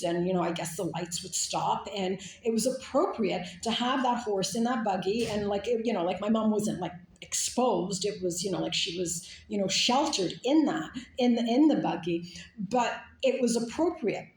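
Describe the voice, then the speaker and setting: A woman's voice: mid-range voice, darkish tone. Woman from canada rambling on, tons of fillers, kinda echoey room. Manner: rambling, many fillers and restarts. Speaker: speaker. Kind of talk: casual monologue.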